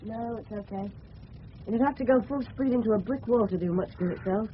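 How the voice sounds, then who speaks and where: A man's voice: high-pitched, brisk, lightly acted. A man playing a polite, persistent English teenage boy with a breaking voice in a radio drama. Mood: keen, persistent. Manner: polite, boyish, keen. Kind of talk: 1970s radio drama dialogue. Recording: muffled, faintly hissy old radio broadcast.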